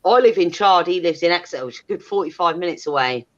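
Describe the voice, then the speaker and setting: A woman's voice: fairly low pitch, expressive, fast. Blunt, sweary woman, muffled audio. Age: 30s. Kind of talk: candid casual chat.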